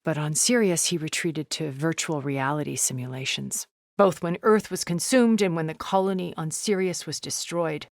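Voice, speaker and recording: An actress playing a poised, cultured, wealthy woman in a video game, speaking in a measured, formal, refined way. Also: low voice, no echo, clean studio sound. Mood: cool, explanatory. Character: poised, cultured, wealthy woman, a thousand years old, sounding middle-aged. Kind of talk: video game character dialogue.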